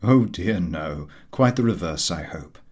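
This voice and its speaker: A grave, courteous older gentleman in charge of an office, as a male narrator plays him, speaks in measured, stately phrases. He is a calm storybook employer, formal in every line. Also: sits low, husky, voice pushed down, full character voice.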